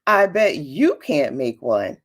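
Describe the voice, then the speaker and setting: A woman's voice: sits low, very animated, slow, voice pushed down, heavily acted. A woman voicing a young storybook girl, teasing and easily impressed, with an exclamatory, childlike manner.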